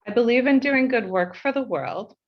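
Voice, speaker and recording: A woman's voice: fairly high pitch, lively intonation, lo-fi sound. Heartfelt New woman reading a speech. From United States. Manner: measured and heartfelt, slowing for emphasis. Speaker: board chair. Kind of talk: reading a prepared speech at an online meeting.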